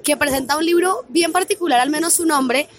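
A woman's voice: high-pitched, a little fast. A woman hosting a radio interview, asking questions in a friendly, conversational way. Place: radio booth at a book fair stand.

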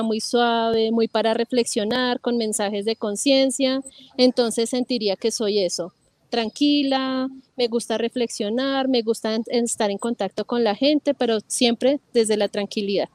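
A woman who leads a research project speaks calmly and articulately in a fairly high voice. The sound is dry and close. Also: moderate pace, no echo. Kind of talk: explaining on a talk program.

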